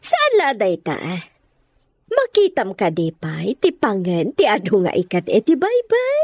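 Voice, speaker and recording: A woman's voice: medium pitch, wildly swooping pitch, unhurried, a little mellow, dry recording, full character voice. An actress playing a contented, genteel mother in an audio drama, leisurely and admiring in manner. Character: contented, genteel mother talking fondly to her daughter.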